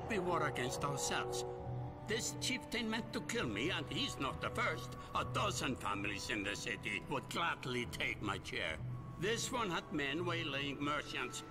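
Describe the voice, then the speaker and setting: A man playing a weary, embattled ruler of a Norse fantasy city in a video game cutscene, speaking gravely and with measured weight. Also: a little high. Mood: bitter, wry. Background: music.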